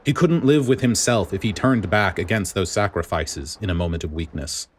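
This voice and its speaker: A man reading a story aloud as dramatic scripted narration, in a grave, deliberate manner. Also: low-pitched, husky. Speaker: podfic reader.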